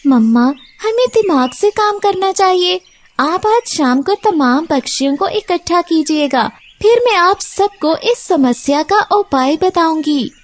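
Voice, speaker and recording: Woman as a squeaky-high young girl sparrow. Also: expressive, measured pace. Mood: determined. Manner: earnest, determined. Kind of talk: children's cartoon story acting.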